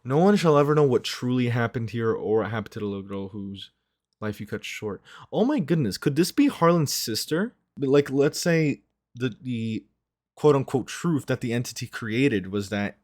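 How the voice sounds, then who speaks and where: A man's voice: medium pitch, sing-song, moderate pace, a little mellow. A man giving casual reaction commentary as a video host, thinking aloud as he goes. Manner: musing, thinking aloud, frequent "like" and "right".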